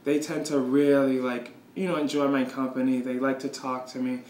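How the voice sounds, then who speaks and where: A young man working at a shelter, answering interview questions casually and softly, with many "like" fillers. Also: mid-range voice, flat delivery, moderate pace. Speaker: shelter staff member. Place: small indoor office room. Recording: echoey room with faint ambience.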